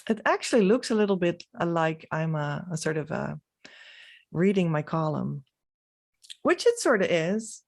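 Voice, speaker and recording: A woman from the Netherlands, a native Dutch speaker with a Dutch accent, giving a reflective, unscripted vlog monologue with halting, trailing phrases. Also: on the low side, big pitch swings, creaky. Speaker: spiritual vlogger.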